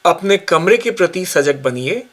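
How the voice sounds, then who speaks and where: A man guiding a yoga nidra relaxation session with slow, calm, measured instructions. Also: high-pitched. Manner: slow, calm, measured guidance with long pauses. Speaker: yoga teacher.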